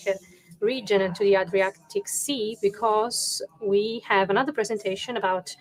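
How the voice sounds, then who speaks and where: A woman's voice: medium pitch, low-quality recording. A woman moderating an online conference, speaking with many hesitant fillers. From Italy.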